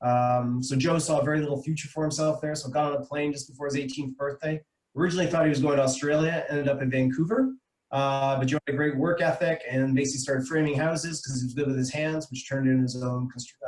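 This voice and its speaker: A Canadian man in middle age speaks at a medium pitch in a roomy, echoing space, recorded over a video call. He presents like a trade representative teaching a casual group, conversational and explanatory, feeling his way through sentences with some hesitation. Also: fairly even intonation, fast, a little mellow. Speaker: wine importer presenting a tasting. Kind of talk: informal educational presentation. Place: indoor room, online video meeting. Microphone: video call microphone. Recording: echoey room on a video call.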